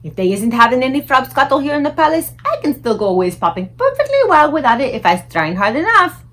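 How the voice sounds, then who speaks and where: Woman voicing an excitable, childlike storybook giant, swooping pitch. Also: brisk, heavily acted. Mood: gleeful, boisterous. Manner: exclaiming in mangled, invented words. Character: excitable giant, childlike, delighted, muddled grammar.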